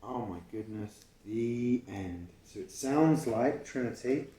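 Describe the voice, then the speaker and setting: A man reading a picture book aloud to a child at home, pausing to explain and ask questions along the way. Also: mid-range voice, lively intonation, dark tone, far from the mic, slight background noise. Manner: explains and asks questions as he reads. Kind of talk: storytime read-aloud with chatty asides to a child. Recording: roomy, echoey home recording.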